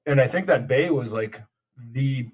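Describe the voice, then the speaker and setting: A man's voice: medium pitch, expressive, measured pace. A man hosting a casual podcast chat among friends, rambling, cursing freely and trailing off mid-thought. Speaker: podcast host.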